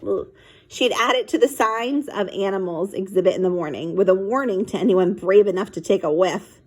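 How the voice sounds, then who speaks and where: A woman's voice: mid-range voice, normal speed, poor audio. Woman reading a children's story aloud. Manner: animated, acts out dialogue and sound effects. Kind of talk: expressive read-aloud with casual asides to young listeners.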